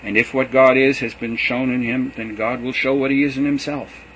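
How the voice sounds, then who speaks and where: A man reading as Jesus, earnest and steady. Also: mid-range voice, normal speed, a little hiss or hum, close to an everyday voice, poor audio. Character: Jesus, a gentle male teacher farewelling his students. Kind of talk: reading a gospel aloud.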